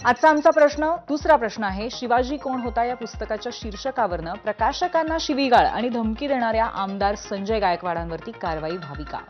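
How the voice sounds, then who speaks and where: A female news anchor with a fairly high voice. She presents scripted TV news over a music bed, with slightly dull audio. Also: animated, fairly quick, hardly any pauses.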